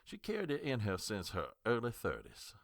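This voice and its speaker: An actor playing an older, skeptical small-town doctor in an audio drama, sardonic and condescending, given to lecturing. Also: male voice, on the low side, wildly swooping pitch, normal speed, no echo, studio quality.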